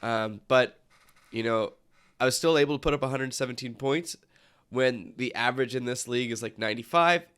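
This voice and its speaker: A man's voice: medium pitch, a little fast, fairly bright tone, smooth. A young man with a cold and a stuffy nose, hosting a casual sports podcast and rambling with many fillers and restarts. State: congested from a cold. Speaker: podcast host.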